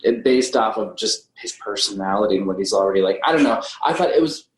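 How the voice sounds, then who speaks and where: A man's voice: on the low side, lively intonation, fast talker. A man talking casually about a film as a podcast co-host, tentative and often qualifying what he says. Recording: roomy echo on the voice.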